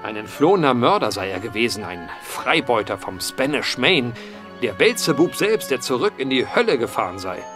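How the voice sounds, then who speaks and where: A man's voice: mid-range voice, very animated, normal speed, music in the background, natural voice. An actor playing an earnest male investigator in a period mystery radio play, speaking formally and eloquently like a storyteller. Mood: grave storytelling.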